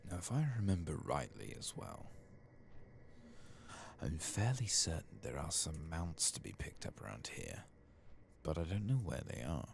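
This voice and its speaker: A soft-spoken man doing casual, unhurried ASMR commentary over a game playthrough, sometimes dropping toward a whisper. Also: a bass voice, very animated, vocal fry, a little hiss or hum. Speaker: host of a gaming ASMR channel.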